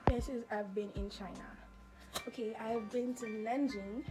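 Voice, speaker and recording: A woman's voice: fairly high pitch, steady tone, a little slow, loud music behind the voice, poor audio. A nineteen-year-old woman from Jamaica with a Jamaican accent, chatting casually in a Q&A vlog, laughing often and filling with "you know" and "like". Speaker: vlogger.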